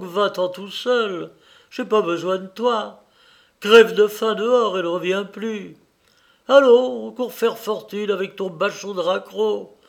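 A man voicing an angry, self-pitying middle-aged mother who berates her son in a scornful, theatrical way, as in an audiobook. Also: sits high.

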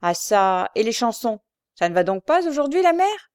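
A woman's voice: on the high side, sing-song, moderate pace, clear, resonant tone, dry recording, clean studio sound, pitched above the performer's own voice. A woman voicing a lazy, bullying elder son in a story, with curt, mocking, slangy speech.

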